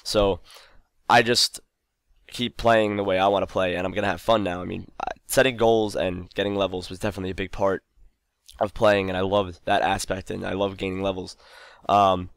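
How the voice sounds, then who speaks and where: Deep, gravelly young man rambling over gameplay. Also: little pitch movement, moderate pace, fairly bright tone, no echo, studio quality. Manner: rambling, loose, lots of fillers and restarts. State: tired, losing his voice. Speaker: gaming channel host. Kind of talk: casual gameplay commentary.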